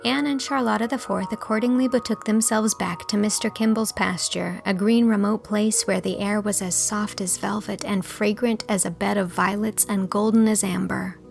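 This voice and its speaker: A woman's voice: mid-range voice, steady tone, normal speed, vocal fry, few pauses, soft background music. A woman reading scripted storybook narration as the narrator of an audiobook.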